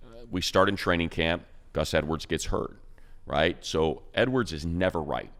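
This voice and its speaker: Male sportscaster, very low voice, smooth and resonant, relaxed conversational football analysis. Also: moderate pace. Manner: conversational, rambling analysis with fillers. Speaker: radio play-by-play announcer. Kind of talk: casual sports talk.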